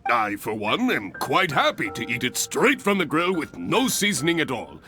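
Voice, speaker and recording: An actor playing a hearty, encouraging exam master in a video game, speaking in a grandiose, instructive way. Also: male voice, mid-range voice, wildly swooping pitch, moderate pace, bright, forceful tone. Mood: measured, considerate. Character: hearty, encouraging male exam master of adventurers, theatrical and enthusiastic. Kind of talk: video game character acting. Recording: game music under the voice.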